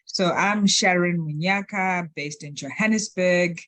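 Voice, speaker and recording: A woman introducing herself on a video call, measured and heartfelt. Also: lowish voice, fairly bright tone. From Zimbabwe.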